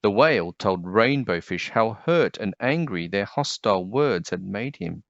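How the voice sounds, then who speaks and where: A man with a fairly low voice reads a children's storybook. He takes his time and varies his tone a great deal, in a dry, slightly dull recording. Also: animated, a little slow, gravelly, dry recording. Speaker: children's book narrator. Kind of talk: expressive scripted storybook narration.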